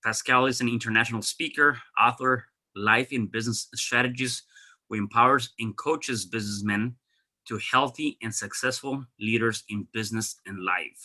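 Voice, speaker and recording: A man's voice: medium pitch, normal speed, sharp tone. A man hosting a podcast interview, conversational, with many fillers and repeated words. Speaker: podcast host.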